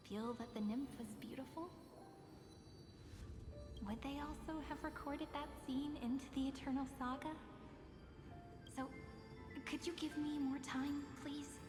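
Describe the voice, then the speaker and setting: High, gentle, wistful young storyteller. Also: female voice. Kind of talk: scripted video game acting. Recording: echoey long reverb, music behind.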